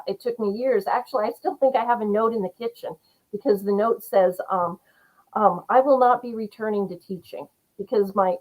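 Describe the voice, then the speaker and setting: A woman from Rhode Island talks earnestly about her path as an author, reflective and steady. She fills pauses with soft murmurs of agreement. Her voice sits at an ordinary middle pitch for a woman. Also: rough recording. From Rhode Island, USA. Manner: earnest, reflective, frequent mm-hmms. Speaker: author guest. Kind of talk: casual interview chat.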